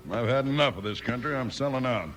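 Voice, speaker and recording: An actor playing a defeated but stubborn cattle rancher in a radio western, blunt and insistent, with an American Western accent. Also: male voice, medium pitch, quick pace, fairly bright tone, natural voice. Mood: resigned, stubborn. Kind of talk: 1950s radio western acting. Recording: old radio recording, faint noise.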